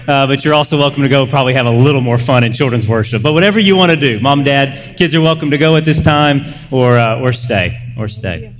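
A man hosting a casual live interview on a church stage, speaking informally and haltingly, often repeating phrases. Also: mid-range voice. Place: large church room, on stage. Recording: echoey hall, clear background noise.

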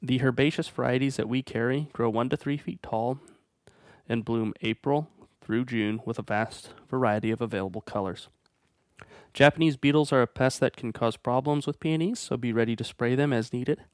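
A man with a stuffed-up voice from a cold hosts a nursery's weekly plant feature. He reads his notes in a steady, informative way at an ordinary middle pitch. The sound is dry, with no room echo. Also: moderate pace. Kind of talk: reading plant guide notes.

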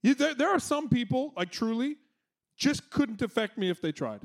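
A man preaching a sermon with a loud voice, conversational and energetic, with playful asides, in a church. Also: extremely high pitch, moderate pace, creaky. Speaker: pastor.

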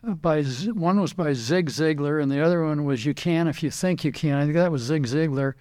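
An older man and retired racing driver, a podcast guest telling animated anecdotes, quoting others and often repeating words. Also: fairly high pitch, brisk. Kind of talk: casual storytelling interview.

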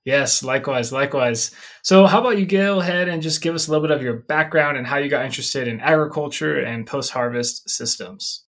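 A man's voice: a little high, a little fast, a bit bright. A man hosting an interview podcast in an upbeat, informal and enthusiastic manner.

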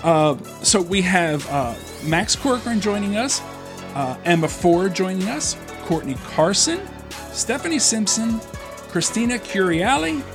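A man co-hosting a casual podcast, introducing guests in a relaxed way with lots of fillers. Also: high-pitched, measured pace, music in the background. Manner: easygoing, filler-heavy intro. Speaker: podcast co-host.

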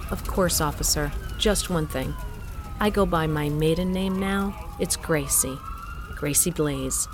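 A woman's voice: deep voice, a little slow, background noise. A woman playing a scientist and mother who narrates her own story in a scripted audio drama. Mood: composed. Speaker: narrator and lead character.

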